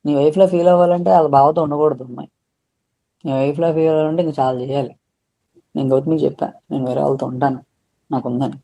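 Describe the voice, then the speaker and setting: A man's voice: a little high, steady tone, quick pace, gravelly, pauses often, warm tone. A man in an informal private conversation on a recorded phone call, talking in a rambling, confessional and coarse way.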